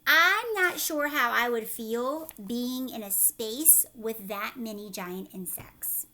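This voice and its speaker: A woman teacher reading a children's story aloud expressively, with dramatic pauses and questions for young listeners. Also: a little high, bright, forceful tone.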